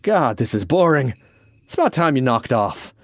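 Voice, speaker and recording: A man's voice: mid-range voice, big pitch swings, moderate pace, dry recording, clean studio sound, pitched above the performer's own voice. A man voicing an eccentric, mischievous Irish ghost in an audiobook story, wordy and blithely unbothered. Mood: bored, goading.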